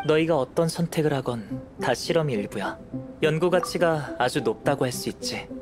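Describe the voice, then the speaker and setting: Casting: male actor as young scholar-alchemist for a game, slightly high voice, calm, curious and articulate, music underneath. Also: lightly acted. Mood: calm, reflective. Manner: measured, composed, articulate. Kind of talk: scripted game dialogue. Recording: music behind the voice.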